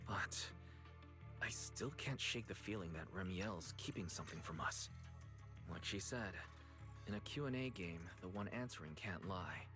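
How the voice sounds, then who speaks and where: An actor voicing a calm, cautious, analytical young man in video game story dialogue. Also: low voice, normal speed. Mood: wary, pondering. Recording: game music behind.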